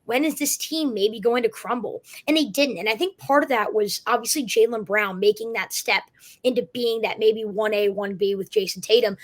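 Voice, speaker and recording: A woman's voice: a little high, normal speed. Woman hosting a sports interview. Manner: long, steady questions with fillers like "kinda". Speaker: show host, interviewer.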